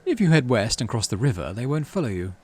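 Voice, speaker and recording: A man's voice: mid-range voice, big pitch swings, fast talker. A man voicing a sly, teasing male stranger in a sci-fi game. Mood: sly, warning.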